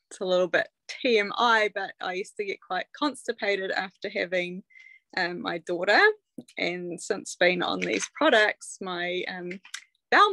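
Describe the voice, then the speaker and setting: Bright-voiced woman giving a chatty testimonial. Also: medium pitch, dry recording. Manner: chatty, lots of ums and likes. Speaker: product testimonial speaker. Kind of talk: casual testimonial.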